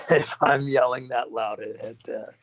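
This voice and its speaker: A man, a podcast guest, talking casually in a halting way, restarting and trailing off. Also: mid-range voice, steady tone. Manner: halting, restarts and trailing phrases with short laughs. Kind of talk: casual conversation. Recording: dull lo-fi podcast audio.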